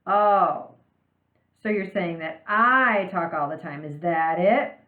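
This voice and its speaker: A woman reads as a talkative, bossy storybook mom. She is brisk, bantering and insistent. The sound is echoey and band-limited. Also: fairly low pitch, narrow, tinny audio. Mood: mock offended. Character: talkative, bossy mother scolding her young son. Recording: roomy, band-limited home recording.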